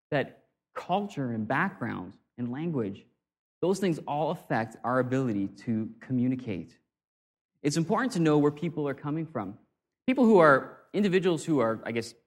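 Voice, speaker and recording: A man giving an informal talk on communication, conversational and self-deprecating, often restarting his phrases. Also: mid-range voice. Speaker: speaker on communication. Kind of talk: informal talk or sermon-like lecture.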